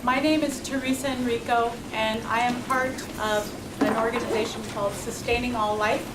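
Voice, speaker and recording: Steady higher-voiced woman, roomy hall. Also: moderate pace. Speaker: organization representative. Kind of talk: prepared statement. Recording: echoey distant hall recording with noise.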